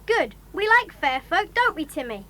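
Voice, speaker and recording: A woman playing a keen, friendly schoolboy in a children's audio drama, with crisp enunciation. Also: extremely high pitch, very animated, moderate pace, very noisy. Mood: chirpy. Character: bright, chirpy, friendly schoolboy.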